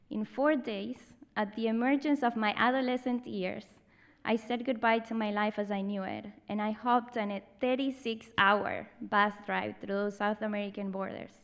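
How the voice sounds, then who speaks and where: A woman in her thirties from Argentina with an Argentinian Spanish accent, reading a personal essay aloud on a theatre stage in a measured, reflective way with emphatic pauses. Spanish is her first language. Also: medium pitch, long reverb tail. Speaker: storyteller.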